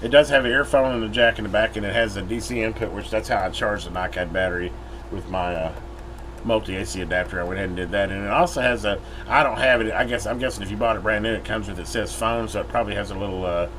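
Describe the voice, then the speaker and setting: A man's voice: on the low side, fast. An American man giving a casual, unscripted product review, recorded inside a metal building. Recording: clear steady background noise.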